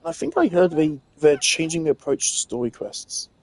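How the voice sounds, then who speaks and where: A man's voice: fairly high pitch, huge pitch swings, normal speed, creaky, a crowd in the background, low-quality recording. Opinionated rambling male game streamer. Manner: opinionated, rambling, lots of filler words. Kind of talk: casual gaming commentary.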